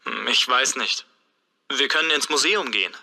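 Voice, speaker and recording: A hesitant friend on the phone. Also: male voice, medium pitch, moderate pace, gravelly, pushed and bright, long reverb tail, full character voice. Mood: casual. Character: hesitant adult man answering a friend's call.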